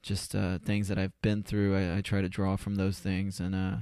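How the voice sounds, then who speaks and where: A man in his twenties with a low, flat voice talks as a podcast guest. He is casual and halting, circling back over his words in a self-effacing way, in a dry home studio. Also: clean tone, no echo. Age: 20s. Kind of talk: casual, unscripted interview answers. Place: converted garage home studio.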